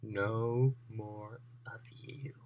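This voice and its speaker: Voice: mid-range voice, fairly even intonation, narrow, tinny audio, voice pushed down. A storyteller doing a cackling evil female supervillain. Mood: gloating. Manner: menacing, drawn-out, drops into whispers.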